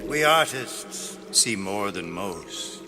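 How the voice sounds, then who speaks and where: An actor playing an unhinged, sadistic artist and impresario in a video game, with theatrical, grandiose, lilting declamation and sudden outbursts. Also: male voice, fairly low pitch, expressive, takes it slowly, music in the background. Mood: sly, menacing. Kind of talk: dramatic video game acting.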